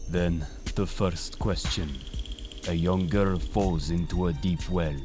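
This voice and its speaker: A man's voice: sits low, steady tone, slow. A man playing a solemn, menacing mystical Egyptian avenger in an audio drama, speaking in a grave, portentous manner. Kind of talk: dramatic audio manga acting. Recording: voice over prominent dramatic music.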